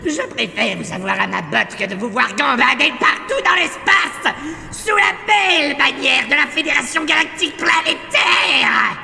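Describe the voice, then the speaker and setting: A woman's voice: high voice, big pitch swings, normal speed, vocal fry, few pauses, sharp tone, heavily acted. A woman playing a theatrical, gloating female villain who cackles and lays out her evil scheme in an audio comedy serial. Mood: gloating, menacing. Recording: echoey hall reverb, music behind.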